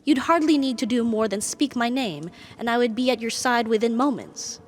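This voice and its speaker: A woman voicing a proud, possessive, lovesick female demon in an ASMR roleplay, speaking in formal, deliberate, dramatic phrases. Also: a little high, animated, a little hiss or hum, natural voice. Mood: insistent. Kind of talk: ASMR romantic audio roleplay.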